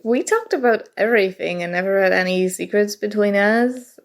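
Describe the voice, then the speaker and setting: A woman playing a shy, awkward college-age roommate in an intimate ASMR roleplay, speaking haltingly and nervously. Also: mid-range voice, animated, natural voice. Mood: nostalgic. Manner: hesitant, halting, sighing.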